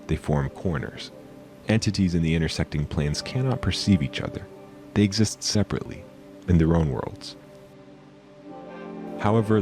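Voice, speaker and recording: A man playing a solemn, eccentric documenter of otherworldly beings in a horror series, speaking in a formal, measured, expository way. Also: low voice. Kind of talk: horror series scripted monologue.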